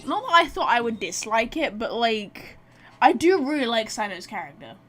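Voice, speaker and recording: A woman's voice: a little high, sing-song, normal speed, a bit bright, faint music behind. A woman giving casual, chatty live game commentary, reacting aloud and talking to her chat. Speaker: game livestreamer.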